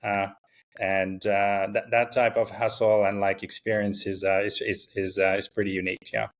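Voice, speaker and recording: A founder from Morocco talking casually in an interview, full of fillers and restarts. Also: male voice, on the low side, flat delivery. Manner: halting, many fillers and restarts. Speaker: startup founder, guest.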